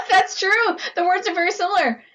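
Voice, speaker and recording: A woman's voice: very high voice, normal speed, very noisy. A woman hosting a casual interview, affirming her guest with short interjections, on a dull, lo-fi recording. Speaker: interviewer, show host.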